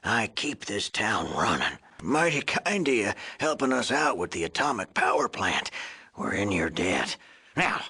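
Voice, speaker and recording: Crusty old ghoul for a game, super raspy and sharp, folksy joker, voice jumps all over. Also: male voice, on the low side, big pitch swings, a little slow, heavily acted. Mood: folksy, teasing. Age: older. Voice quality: raspy, wheezy, cracked old voice. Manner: folksy drawl, wisecracking, wheezy cackles and coughs. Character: cranky, joking old male ghoul running a town. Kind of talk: video game character acting.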